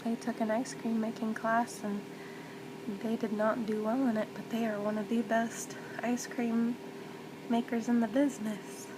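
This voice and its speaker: A woman acting as a loving mother comforts her child in a soft ASMR role play. Her delivery is slow and very even, tender and reassuring, often dropping close to a whisper. Loud ambient noise runs under the voice. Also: a little high, close to an everyday voice. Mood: reassuring, earnest.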